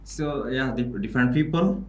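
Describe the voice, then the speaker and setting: A man from Bangladesh talks casually as a podcast guest. He speaks haltingly, full of fillers and restarts, in a mid-pitched voice. The room around him rings with echo. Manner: hesitant, many fillers and restarts, laughs often. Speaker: international student guest.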